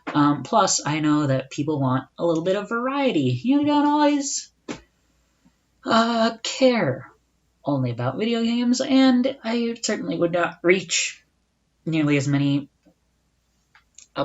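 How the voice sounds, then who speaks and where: Young man, solo podcast host: loose, chatty, self-correcting, on a slightly muffled recording. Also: on the high side. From United States. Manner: casual and chatty, with rhetorical asides and self-corrections. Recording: dull lo-fi recording.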